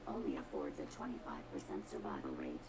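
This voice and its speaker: A woman's voice: quick pace, a crowd in the background. An actress voicing a condescending female robot in a video game, with precise, formal, disdainful diction and a robotic filter. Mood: coldly clinical. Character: haughty, condescending female robot with cold contempt for humans. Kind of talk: video game character dialogue.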